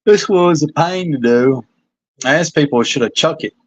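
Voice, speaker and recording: A man's voice: a little high, moderate pace, no echo, dull audio. A man with a Kentucky accent, a comic artist talking casually and self-critically about his pages on a livestream. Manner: rambling, self-critical, hesitant. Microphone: phone.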